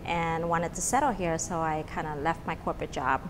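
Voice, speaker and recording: A woman's voice: sits low, steady tone, brisk, in a large hall, noisy background. A middle-aged woman from Vietnam, a restaurant owner chatting casually in an interview, with many fillers and restarts. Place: restaurant dining room.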